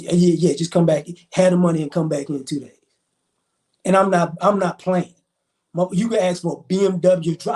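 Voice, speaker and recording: A man's voice: fairly high pitch, a little mellow. A man in his forties hosting a live stream, talking in a rambling, emphatic, slangy way. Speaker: livestream host. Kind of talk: casual live-stream commentary.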